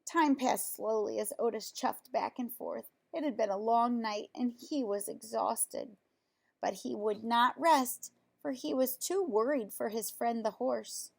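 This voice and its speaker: A woman with a fairly high voice reads a picture book aloud for young children. Her delivery is expressive and simply put, like someone sharing a story with little listeners. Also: a little slow, creaky. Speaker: storybook reader.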